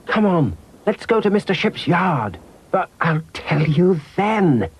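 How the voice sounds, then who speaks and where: A man's voice: high voice, very animated, slow pace, breathy edge, pitched above the performer's own voice, heavily acted. A man voicing an earnest, insistent little girl full of secret plans in a children's story. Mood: earnest, bossy.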